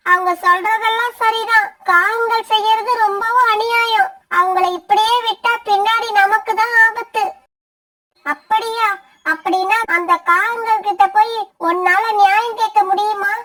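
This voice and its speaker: A tiny, timid female bird voiced by a woman with an extremely high, childlike pitch. She speaks cautiously and resignedly, as a fearful character in a children's cartoon story. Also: fairly even intonation, unhurried, bright, forceful tone, heavily acted. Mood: worried. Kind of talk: animated moral story dialogue.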